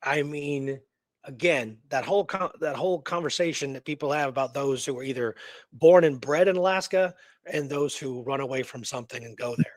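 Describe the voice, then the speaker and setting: A man's voice: mid-range voice, fairly quick, no echo. A man co-hosting a radio show in casual discussion, musing aloud with rhetorical questions and tags seeking agreement. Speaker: co-host of a history radio show. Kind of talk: casual conversational discussion of a historical mystery.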